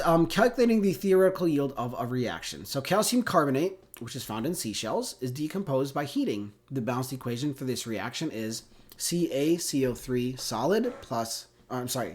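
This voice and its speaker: A man with a congested cold, teaching a chemistry lesson in a casual, conversational way, often checking in with "right?" and "okay?". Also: mid-range voice. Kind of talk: recorded classroom lecture.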